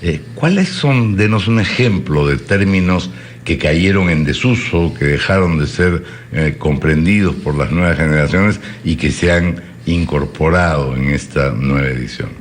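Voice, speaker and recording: A man's voice: a bass voice, a little slow. A man co-hosting a news interview, asking questions with many hesitations. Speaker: news program co-host. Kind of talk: television interview.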